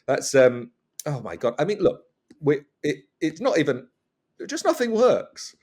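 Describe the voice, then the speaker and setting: A man's voice: fairly high pitch, very animated, a little slow, darkish tone. A man guesting on a comedy podcast, riffing in a rambling, mock-flustered, absurd way. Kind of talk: comic riffing and banter.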